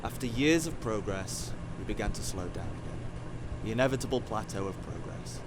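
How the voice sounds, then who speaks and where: An actor playing a bitter, cruel older time-travelling scientist in an audio drama, intense and scornful. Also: male voice, lowish voice, lively intonation, brisk, noisy background, close to an everyday voice. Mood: grim, resigned.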